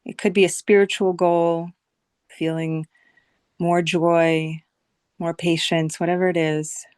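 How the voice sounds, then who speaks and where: A woman leading a guided visualization meditation as a teacher, speaking soothingly in short phrases with long, unhurried pauses. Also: fairly low pitch, little pitch movement, darkish tone, smooth, no echo, studio quality.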